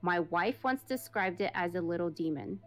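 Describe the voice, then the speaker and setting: A woman's voice: lowish voice, moderate pace, faint music behind. Woman reading a creepy listener story. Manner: steady storytelling read, plain and even. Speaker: podcast host reading listener stories.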